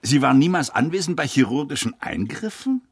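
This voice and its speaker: Gravelly, probing police investigator. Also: male voice, a little high, sing-song, a little fast, heavily acted. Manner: pointed questioning, insinuating. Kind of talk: German radio crime drama.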